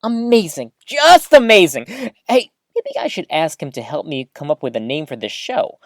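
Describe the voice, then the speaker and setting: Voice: medium pitch, moderate pace, no echo, clean studio sound, lightly acted. An actor playing a rambling, easily flustered small-town radio host giving a live broadcast in an audio drama, awkward and chatty. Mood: chatty, enthusiastic. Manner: awkward, animated, throat-clearing, chatty.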